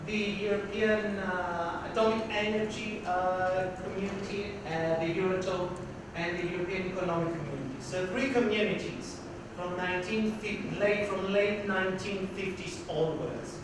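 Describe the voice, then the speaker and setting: Male lecturer, high pitch, hesitant and loud, far-off mic, noisy reverberant hall. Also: fairly even intonation, normal speed. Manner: hesitant, many uhs and restarts; projects loudly for the room. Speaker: visiting university professor. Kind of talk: lecture to students. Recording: very echoey hall, distant, noisy.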